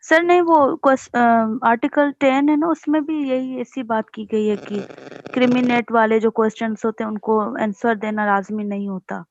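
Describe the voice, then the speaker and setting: Pakistani woman student asking teacher stuff, kinda high voice, muffled dull audio. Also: fairly even intonation, normal speed, dry recording. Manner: polite, deferential, calls him sir. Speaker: law student. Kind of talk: asking questions in class.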